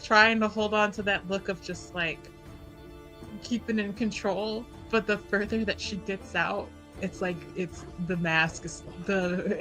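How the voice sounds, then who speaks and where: A woman's voice: medium pitch, bright, forceful tone. A woman playing a tabletop roleplaying game, improvising narration and in-character talk.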